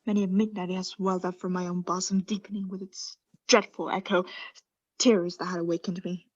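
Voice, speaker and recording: A woman playing an obsessed mad murderer in a theatrical radio play, confessing intensely in hushed whispers and frantic outbursts. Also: on the low side, little pitch movement, brisk, lo-fi sound, lightly acted. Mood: haunted, intense.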